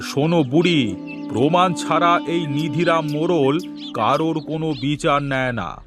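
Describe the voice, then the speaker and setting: A man's voice: a little high, a little slow, music in the background, lightly acted. A man playing a self-important, patronising village headman in a children's cartoon. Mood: dismissive. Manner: pompous, patronising. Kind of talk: Bengali children's cartoon voice acting.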